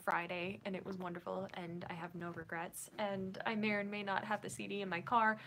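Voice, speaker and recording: A woman's voice: medium pitch, flat delivery, a little fast, a bit bright, slight background noise, poor audio. A young woman with a Minnesotan accent, hosting a casual writing livestream and chatting with her viewers in a bubbly, giggly, rambling way. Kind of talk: casual chat reading viewer comments.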